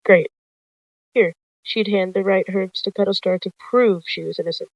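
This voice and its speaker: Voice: mid-range voice, steady tone, fairly quick, vocal fry, dry recording. A narrator reading a roleplay story dramatically, describing the characters' actions, on a lo-fi recording. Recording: dull lo-fi close dry recording.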